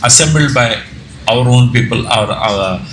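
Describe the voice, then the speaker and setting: A man with an Indian Telugu accent giving an informal slide presentation, speaking haltingly with repeated words and self-corrections. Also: medium pitch, fairly bright tone. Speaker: presenter, amateur radio operator and trainer. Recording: echoey room with background noise.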